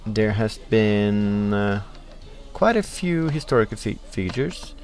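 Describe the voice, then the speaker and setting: Young Norwegian man, low voice, halting casual game commentary, faint music bed. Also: expressive, a little mellow. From Norway. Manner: hesitant, many uh fillers, reading in-game text aloud. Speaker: let's play host.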